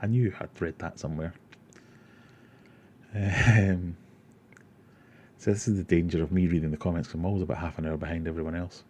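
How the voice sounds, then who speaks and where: A man's voice: low voice, steady tone, fairly quick, long pauses, darkish tone, slight background noise. A Scottish man from Glasgow hosting an aquarium livestream, casually answering chat questions and rambling with self-deprecating asides. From Glasgow, Scotland.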